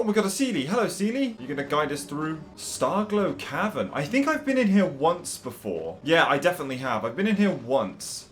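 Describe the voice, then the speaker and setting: Animated, higher-voiced young man gaming. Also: slight background noise. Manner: chatty, playful, reacting aloud. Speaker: gaming video creator. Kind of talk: casual gameplay commentary.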